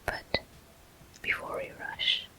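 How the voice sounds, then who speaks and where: A woman hosting a gameplay video in ASMR style, murmuring softly and gently as she comments. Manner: soft, gentle, unhurried murmuring. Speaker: gameplay host.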